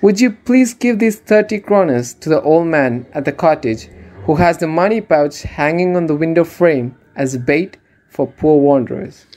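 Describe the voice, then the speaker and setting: A man's voice: a little high, lively intonation, moderate pace, darkish tone, close to an everyday voice. A man playing a poor, humble wandering rat trap seller in an amateur radio drama, speaking earnestly and politely. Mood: sincere.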